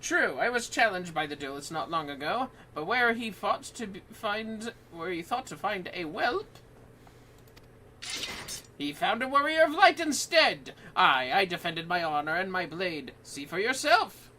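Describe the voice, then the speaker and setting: A man's voice: high voice, sing-song, unhurried, sharp tone, a little hiss or hum, exaggerated voice. A man voicing a pompous, boastful young male adventurer from a fantasy video game, with theatrical delivery. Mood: smug, amused. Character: boastful swaggering young male adventurer, self-styled hero.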